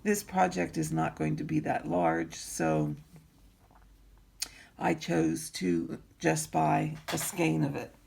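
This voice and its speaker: A woman with a raspy voice teaching needlework in an easygoing tutorial, pausing often with ums and clearing her throat. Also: sits low, level tone, a little mellow. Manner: slow, unhurried, frequent ums and throat clearing. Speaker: embroidery teacher. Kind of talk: instructional craft tutorial.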